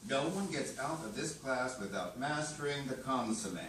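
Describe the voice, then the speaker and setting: Exacting chef instructor, acted at a table read: theatrical, unhurried, big intonation, roomy distant sound. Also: mid-range voice, animated, fairly bright tone, natural voice. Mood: instructing, firm. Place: live staged reading in a room. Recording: roomy echo, distant mic, faint noise.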